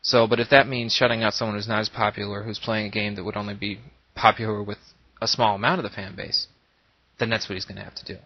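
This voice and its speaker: Man, low-pitched, slightly nasal with a cold, earnest casual monologue, explanatory, dull lo-fi recording. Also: flat delivery, quick pace. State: coming down with a cold. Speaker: YouTube Let's Play creator. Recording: boxy echoing room, dull lo-fi microphone.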